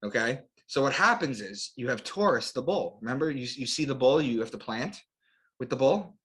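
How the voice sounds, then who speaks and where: A man's voice: medium pitch, animated, normal speed, fairly bright tone. A man giving an explanatory lecture as an interview guest, in short declarative phrases with rhetorical questions. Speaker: guest author and astrotheologist.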